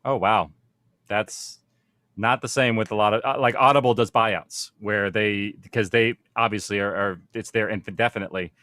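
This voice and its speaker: A man's voice: on the low side, fairly even intonation, moderate pace, sharp tone, no echo, clean studio sound. A man speaking informally as a panel host, explaining things in a conversational way. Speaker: panel host, audio production producer.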